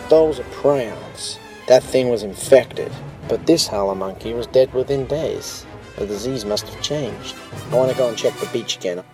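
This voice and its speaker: An actor playing a grave, concerned field scientist in an audio drama, speaking tersely. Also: male voice, medium pitch, moderate pace, natural voice. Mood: alarmed, grave. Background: music.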